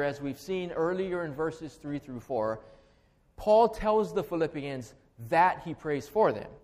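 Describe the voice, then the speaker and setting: Hawaiian-accented pastor preaching. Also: male voice, on the high side. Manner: earnest, measured preaching with a slow, deliberate prayer. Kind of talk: sermon.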